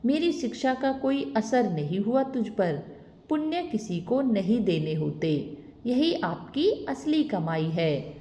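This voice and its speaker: A woman's voice: fairly high pitch, very animated, takes it slowly, dark tone. A woman voicing a stern old male guru who scolds his disciple. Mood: scolding. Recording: echoey reverberant room.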